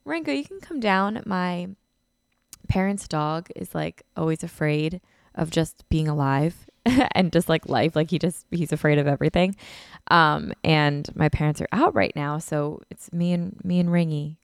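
A woman's voice: deep voice, normal speed, gravelly, clean tone, dry recording, clean studio sound. A woman in her twenties hosting a casual solo podcast, congested and talking in a chatty way full of "like" and "um" as she records at home on a podcast mic. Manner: chatty, lots of "like" and "um". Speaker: podcast host. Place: beach house living room, indoors.

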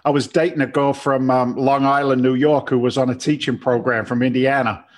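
A man's voice: medium pitch, fairly even intonation, fairly quick. A man in his fifties from England, with an English accent tinged with American, chatting as a guest on a business podcast in a brash, jokey, slangy way. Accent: English mixed with American. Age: 50s. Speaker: podcast guest, real estate investor. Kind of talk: casual interview chat.

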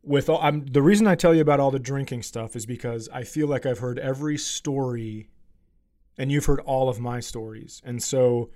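A man's voice: mid-range voice, moderate pace, mellow. A middle-aged man hosting a podcast, chatting casually in a joking, playfully teasing way. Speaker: podcast host. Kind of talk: casual comic chat.

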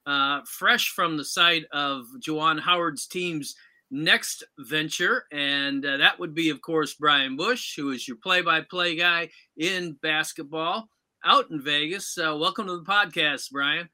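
Very bright, higher-voiced male sports host. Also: unhurried. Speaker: podcast host, interviewer. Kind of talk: conversational sports interview.

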